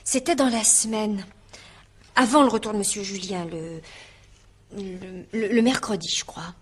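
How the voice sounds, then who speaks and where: Gossipy, chattering housemaid witness. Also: female voice, medium pitch, measured pace, slightly rough. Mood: confiding. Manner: colloquial, hesitant then eagerly confiding, self-justifying. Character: gossipy, naive, talkative young housemaid giving testimony. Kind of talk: radio drama acting.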